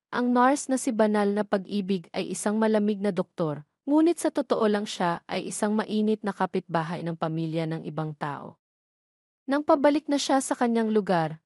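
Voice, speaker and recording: A woman's voice: medium pitch, a little mellow, no echo, studio quality. A woman reading scripted narration for an audio drama love story.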